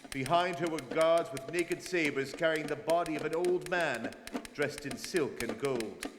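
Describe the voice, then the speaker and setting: An actor playing a grave detective who recounts a journey in formal, suspenseful radio drama narration. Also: male voice, a little high, measured pace, close to an everyday voice. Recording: reverberant hall with faint noise.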